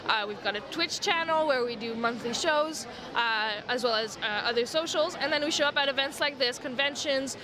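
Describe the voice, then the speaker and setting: A woman's voice: high voice, moderate pace, few pauses, poor audio. French-speaking woman over crowd chatter. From Montreal, Canada. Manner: many fillers, earnest and eager. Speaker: guest from a gaming organization. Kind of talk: convention interview. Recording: crowd noise behind.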